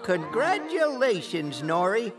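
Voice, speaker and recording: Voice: mid-range voice, big pitch swings, slow pace, recorded from a distance, over music, a crowd in the background. An actor voicing a jolly, ceremonious old grandfatherly announcer in a children's cartoon. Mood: jubilant. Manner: ceremonious, hearty. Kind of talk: children's animated series dubbing.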